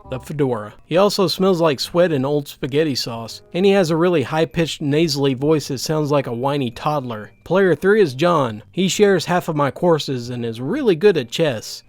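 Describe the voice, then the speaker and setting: A man narrating online stories in a lively, animated reading. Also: on the high side. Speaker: storyteller.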